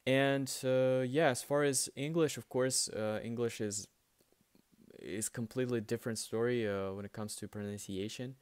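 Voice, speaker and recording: A man's voice: mid-range voice, steady tone, moderate pace, clear, resonant tone, no echo. A young man, a native Russian speaker with a light Russian accent, answering viewer questions in a casual, hesitant way with many fillers and restarts. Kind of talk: casual spoken Q&A.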